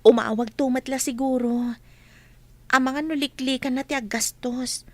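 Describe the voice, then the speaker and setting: High-voiced, trusting young wife. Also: female voice, fairly even intonation, normal speed, darkish tone, no echo. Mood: reassuring. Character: trusting young wife, hopeful grown daughter. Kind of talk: Philippine radio drama acting.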